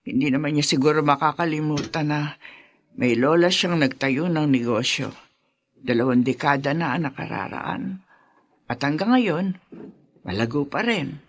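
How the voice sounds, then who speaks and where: A woman's voice: sits low, normal speed, long pauses. A woman playing a proud, protective elderly grandmother in a Filipino radio drama, speaking with a Filipino accent. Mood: wistful, stubborn. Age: older.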